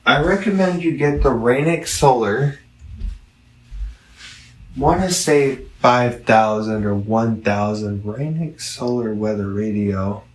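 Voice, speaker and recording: A man giving a casual, rambling product review, halting and correcting himself as he thinks aloud. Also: medium pitch, animated. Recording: roomy, echoing, distant from the mic.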